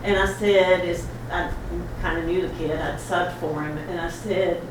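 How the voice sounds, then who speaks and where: A woman's voice: fairly low pitch, steady tone, normal speed. A woman on the school board giving a chatty, laughing tribute speech at an awards event. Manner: warm, chatty, laughing storyteller. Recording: echoey hall from far off, noisy.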